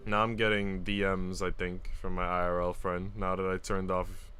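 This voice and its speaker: A man with a fairly low voice is streaming a visual novel read-aloud. He moves between story narration and loose, slangy talk with his viewers, while faint guitar music plays behind him. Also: completely flat delivery, normal speed, sharp tone. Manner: casual, slangy asides. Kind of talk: calm story read-aloud mixed with casual chat to viewers. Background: guitar and instrumental music.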